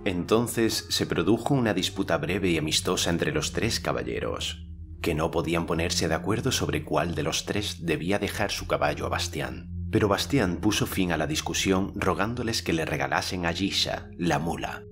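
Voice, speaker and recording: Deep-voiced man reading an audiobook. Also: animated, normal speed, background noise. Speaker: audiobook narrator. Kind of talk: audiobook narration with character voices.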